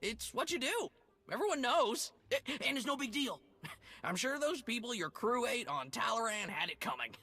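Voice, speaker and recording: A jittery, stammering pirate greeter, with a bright, breathy voice that jumps all over its range. He trips over his words and laughs nervously. A video game cutscene. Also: very high-pitched, very animated, normal speed, heavily acted.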